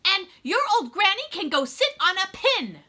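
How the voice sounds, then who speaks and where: Woman to play a rude little storybook pig, yelling, high and forceful. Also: sing-song, normal speed, full character voice. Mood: rude, defiant. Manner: loud, emphatic yelling. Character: rude, gruff little male pig shouting the wolf away. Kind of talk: animated storybook read-aloud for children.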